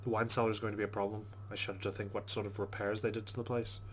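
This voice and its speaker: A man's voice: lowish voice, flat delivery, very fast, slight background noise. A man hosting a let's play, giving casual game commentary and reading the game's text aloud.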